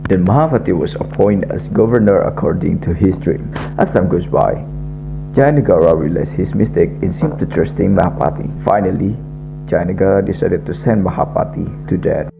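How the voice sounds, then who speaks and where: A man's voice: low-pitched, moderate pace, creaky, background noise. A young man giving scripted historical narration as the narrator of a radio drama. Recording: dull lo-fi, music behind.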